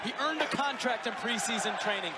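A man calling a soccer match live as play-by-play commentator, with excitable, exclamatory delivery. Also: extremely high pitch, a little fast. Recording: loud stadium crowd and noise behind. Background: roaring stadium crowd.

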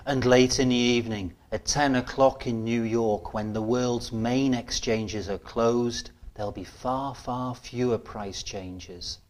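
A middle-aged man presenting scripted instructional narration in a measured, explanatory way, posing rhetorical questions. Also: mid-range voice. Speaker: presenter of an educational film. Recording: music bed behind studio voice. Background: instrumental music.

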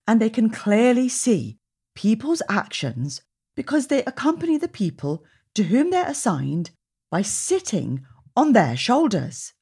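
A woman reading aloud to young children as a storytime host, with expressive, deliberate phrasing. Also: fairly high pitch, slightly rough. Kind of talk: read-aloud narration for children.

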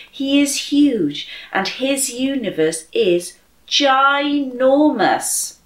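A woman in her thirties reading aloud and praying for children with deliberate, expressive phrasing. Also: medium pitch. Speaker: children's church story reader. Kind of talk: slow expressive read-aloud and prayer for children. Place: bright room at home by a window, indoors. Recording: roomy echo.

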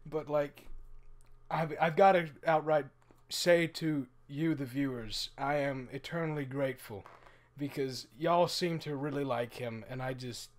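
A man speaks casually in a slightly high voice. He is earnest and self-effacing, and his phrases come haltingly as he reflects. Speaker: voice actor. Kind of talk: casual personal anecdote.

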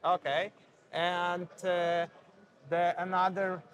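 Host, Polish man, 30s, high voice, halting non-native English, fair hall. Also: little pitch movement, a bit bright. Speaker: interviewer, channel host. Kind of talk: casual trade-fair interview. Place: busy indoor bike expo hall. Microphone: clip-on mic.

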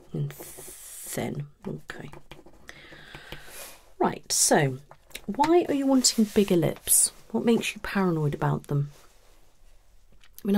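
A British woman in her thirties speaking softly and reassuringly in an ASMR consultation roleplay, close to the microphone. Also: low-pitched, gravelly, a little hiss or hum. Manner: reassuring, unhurried, conversational questioning. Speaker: ASMR performer playing a cosmetic surgery consultant. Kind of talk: ASMR roleplay consultation, soft-spoken with some whispering. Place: small indoor room set up as a clinic.

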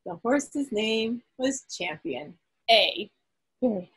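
A woman with a fairly high, slightly husky voice reads out quiz results as host. She speaks in a measured, emphatic way, recorded over a group video call. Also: expressive, a bit bright. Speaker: quiz host at a club meeting.

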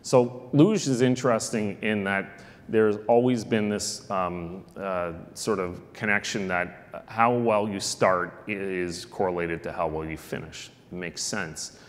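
Middle-aged man, very low voice, conference keynote at a lectern microphone, long reverb of a large hall. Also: fairly even intonation, measured pace. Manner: conversational, frequent ums and uhs. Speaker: keynote speaker on sports analytics. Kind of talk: conference presentation.

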